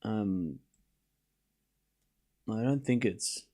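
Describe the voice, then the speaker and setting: A man's voice: fairly low pitch, extremely slow. Australian man chatting on a live stream. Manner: relaxed and rambling, reads chat aloud and answers it, swears casually. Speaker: streamer doing live tennis commentary. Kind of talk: casual live-stream chat and play-by-play.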